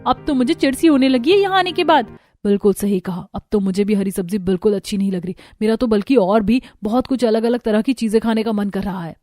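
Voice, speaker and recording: A woman's voice: mid-range voice, brisk, mellow, no room sound, clean studio sound, faint music behind, lightly acted. A woman voicing a sneaky young daughter-in-law in a family cartoon, chatty and conspiratorial. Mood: gleeful, conspiratorial. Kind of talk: Hindi family cartoon dialogue.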